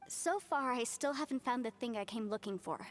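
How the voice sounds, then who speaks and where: A polite, thoughtful young woman lawyer played by an actress in a video game. The voice sits fairly high and speaks in an articulate, conversational way. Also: fairly quick, natural voice. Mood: thoughtful, hopeful.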